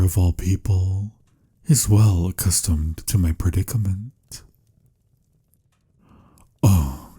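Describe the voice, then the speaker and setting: A man playing a melancholy, courtly vampire lover in a romantic ASMR audio roleplay, speaking softly in formal, old-fashioned, measured phrases with a breathy, husky voice. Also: deep voice, exaggerated voice. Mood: exasperated, pleading. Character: melancholy, courtly male vampire lover, patient but pained.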